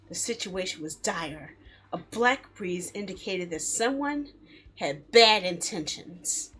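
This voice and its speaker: A woman streaming a game in a casual, expressive, chatty way, reading story text aloud and reacting to it. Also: fairly high pitch, breathy edge. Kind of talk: casual live let's play, reading visual novel text aloud. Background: background music.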